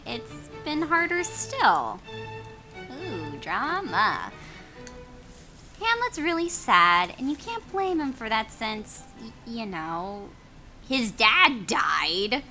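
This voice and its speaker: A woman's voice: high voice, sharp tone. A woman co-hosting a casual let's play, reading a story game aloud expressively and adding amused asides.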